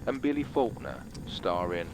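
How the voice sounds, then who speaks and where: A man formally introducing a late film as a deadpan television continuity announcer. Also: deep voice, normal speed, background noise.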